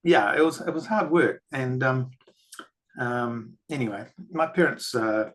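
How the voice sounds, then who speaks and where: An older man with a New Zealand accent, a filmmaker and author guest in a podcast interview, telling stories in a reflective, unhurried way. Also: mid-range voice, a bit bright.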